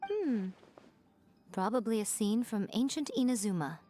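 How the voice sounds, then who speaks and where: A woman's voice: a little high, expressive, dark tone, soft background music, lightly acted. A woman playing a sly, self-assured shrine maiden in a video game, poised and teasing in manner. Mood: composed. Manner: teasing, poised, unhurried explaining. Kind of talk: video game character acting.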